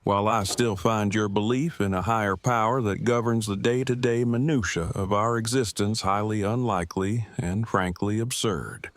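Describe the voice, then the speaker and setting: An actor playing a wordy, earnest survivor in an audio drama, with stilted, formal and deliberate diction. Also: male voice, fairly low pitch, lightly acted. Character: verbose, formal, earnest man in love, post-apocalyptic survivor.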